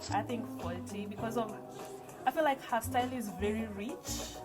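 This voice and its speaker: Woman from Kenya, measured guest, higher voice, loud music behind. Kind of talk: casual chat.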